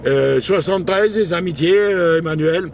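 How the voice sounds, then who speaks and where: A man's voice: sits high, moderate pace, creaky. A man making casual amateur radio contact in an informal, hesitant, slangy way, speaking from an outdoor mobile spot. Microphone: handheld camera picking up the voice. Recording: faint outdoor and vehicle noise.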